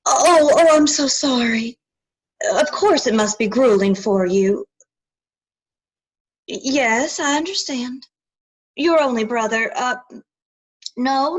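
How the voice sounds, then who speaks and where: A woman's voice: high-pitched, low-quality recording. A woman voicing a lonely, timid elder sister in a stage play reading, talking hesitantly and tearfully with stammers.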